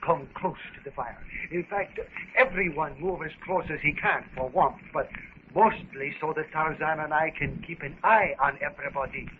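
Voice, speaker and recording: An actor playing a courteous, watchful French lieutenant with a French accent in a 1930s radio serial. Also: male voice, a little high, moderate pace, natural voice. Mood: calm, courteous. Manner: polite, earnest, sprinkled with French phrases. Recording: muffled, noisy 1930s radio recording.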